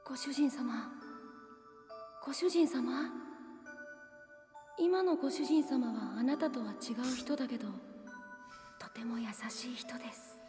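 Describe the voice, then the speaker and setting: A woman acting a gentle, wistful young woman in an anime drama. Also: sits high, fairly quick, long pauses, close to an everyday voice. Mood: tender, sorrowful. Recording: roomy echo with long reverb, music behind. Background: music.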